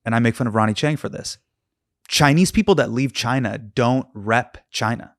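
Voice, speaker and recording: A man in his thirties hosting an interview, curious and probing, dropping quick yeahs and okays. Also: mid-range voice, fairly bright tone, no room sound, clean studio sound. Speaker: host. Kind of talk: casual interview chat.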